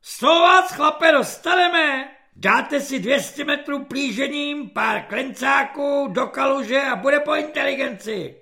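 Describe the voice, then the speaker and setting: A man reading aloud voices a loud, boastful army lance corporal who bullies recruits. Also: very high voice, vocal fry, pitched above the performer's own voice, exaggerated voice. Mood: barking. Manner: shouting, boastful, crude.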